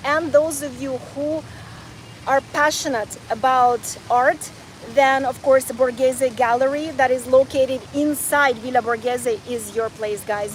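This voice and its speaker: Chatty high-voiced woman vlogging outdoors. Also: slight background noise, poor audio. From Ukraine, raised in North Carolina. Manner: enthusiastic, chatty, repeats words as she talks. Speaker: travel vlogger. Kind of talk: live-streamed walking travel chat. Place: outdoors in a city park and square.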